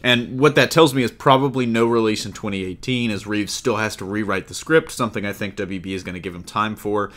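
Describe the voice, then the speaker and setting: A man's voice: lowish voice, fairly quick, hardly any pauses, fairly bright tone. A man hosting a podcast in a casual, chatty style, bantering and joking with his co-hosts. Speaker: podcast host. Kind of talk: casual podcast chat about comic-book film news.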